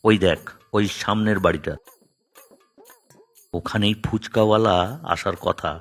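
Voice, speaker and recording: A reluctant young cartoon fellow, played by a man, bashful and easily exasperated. His voice sits fairly low with a creaky edge, and music plays clearly behind it. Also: fairly even intonation, a little slow. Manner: grumbling, reluctant. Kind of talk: children's cartoon voice acting.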